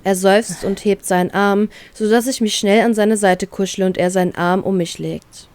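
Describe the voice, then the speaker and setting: A woman's voice: mid-range voice, steady tone, normal speed, darkish tone, no room sound. A woman reading a scripted story aloud as its narrator, in a dramatic storytelling style. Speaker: narrator of fan fiction audio story.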